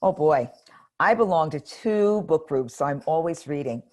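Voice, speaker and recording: Older woman, retired educator, very low voice, measured and earnest. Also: lively intonation, darkish tone. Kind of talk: interview answers.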